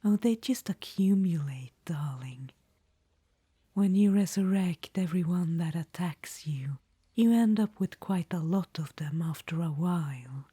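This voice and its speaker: A woman's voice: lowish voice, measured pace, warm tone, dry recording, clean studio sound. Woman whispering as a languid undead witch-queen. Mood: languid, conspiratorial. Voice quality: breathy whisper. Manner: whispering, calls the listener darling. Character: undead witch-queen, ancient, vain, imperious. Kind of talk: ASMR audio roleplay.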